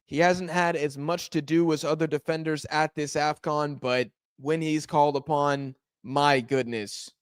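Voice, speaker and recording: A man hosting a live football watch-along, reacting excitedly to every chance and shouting at near misses, his voice going hoarse. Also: on the high side, unhurried, hardly any pauses, no room sound, studio quality. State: hoarse, losing voice.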